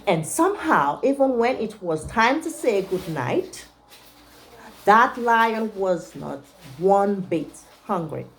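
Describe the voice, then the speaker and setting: A woman reading a picture book aloud to young children as a teacher, pausing to check in with her listeners. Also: medium pitch, sing-song, fairly bright tone.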